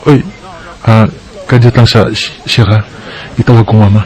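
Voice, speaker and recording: A man's voice: fairly low pitch, steady tone, normal speed, darkish tone, close to an everyday voice, low-quality recording. Eager young Bisaya boyfriend acted by a man. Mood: cheerful, eager. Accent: Filipino, Bisaya. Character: eager, affectionate young boyfriend introducing his sweetheart. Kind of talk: radio drama acting.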